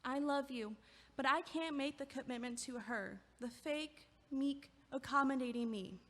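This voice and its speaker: An actress voicing a composed young woman who reads out her own breakup letter in a measured, soft, deliberate way. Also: sits high. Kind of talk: audio drama acting.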